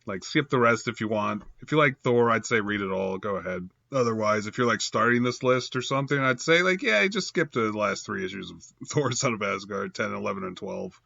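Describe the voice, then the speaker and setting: A man's voice: fairly low pitch, moderate pace, vocal fry, bright, forceful tone, lo-fi sound. Stuffed-up man rambling about comics. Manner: rambling, frequent fillers and self-corrections, chuckles. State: stuffed up from a cold. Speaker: comic book reviewer. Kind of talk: casual improvised review.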